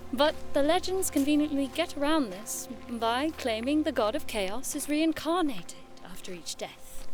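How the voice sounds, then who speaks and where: A woman acting a high-voiced, haughty young noblewoman. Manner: theatrical, eloquent, teasing. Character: haughty, playful young noblewoman, bookish skeptic. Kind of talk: audio drama acting.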